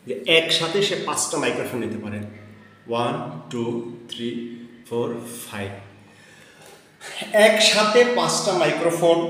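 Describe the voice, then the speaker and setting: A man with a Bangladeshi accent presents and explains equipment, deliberately itemizing in short phrases. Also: on the high side, fairly bright tone. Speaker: presenter demonstrating electronic equipment. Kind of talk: product demonstration, explanatory. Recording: very echoey, long room reverb.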